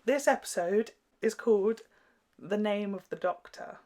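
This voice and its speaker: A woman's voice: medium pitch, a little slow, warm tone. A woman hosting a reaction show, chatting casually with a friend as they watch television.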